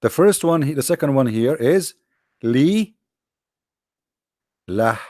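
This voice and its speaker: A man whose first language is Arabic, lecturing combatively over on-screen text, with many halting fillers and slow, drawn-out stress on key words. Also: medium pitch, animated, a little mellow, dry recording, clean studio sound. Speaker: religious commentator.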